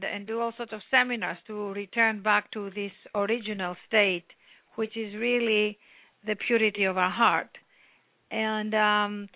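A woman from Greece with a Greek accent, an author talking earnestly at length in a phone interview, with many pauses. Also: mid-range voice, creaky, dry recording. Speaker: author guest. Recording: tinny phone line.

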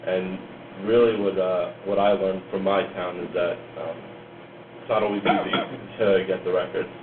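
Young guy far from the mic, low voice, lots of "like", echoey tinny room with hiss. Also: little pitch movement, normal speed. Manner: hesitant, many "like" fillers. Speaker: student reporter.

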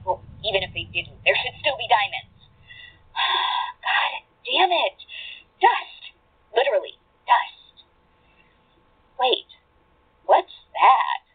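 A woman's voice: a little high, big pitch swings, slow pace, long pauses, breathy edge, narrow, tinny audio, full character voice. A woman playing a cocky, wry and playful thief in an audio drama, with a sardonic way of talking. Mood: frustrated, puzzled. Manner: sardonic, talks to herself and her cat.

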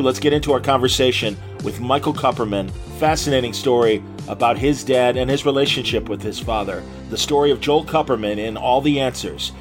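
An American man from Illinois hosting an interview podcast in a chatty, informal, conversational way. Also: medium pitch. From Illinois, USA. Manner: chatty, informal, says "man" and "you know". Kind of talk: casual conversational hosting with ad reads.

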